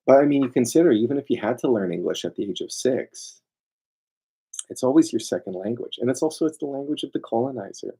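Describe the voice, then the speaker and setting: A man lectures informally in a mellow, raspy voice with plenty of fry. He speaks emphatically, drifting into asides and putting rhetorical questions to his listeners. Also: mid-range voice, animated, fast, poor audio. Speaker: lawyer presenting a seminar.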